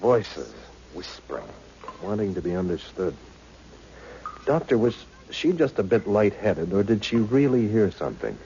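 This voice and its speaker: Eager young lab helper, casual talker, low-ish voice, old sixties radio play, dull with some hiss. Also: male voice, a little slow. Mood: concerned. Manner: plain-spoken, excitable, informal. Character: eager, informal young male lab assistant, loyal and concerned. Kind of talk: 1960s radio drama acting. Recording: muffled old radio recording, faint noise.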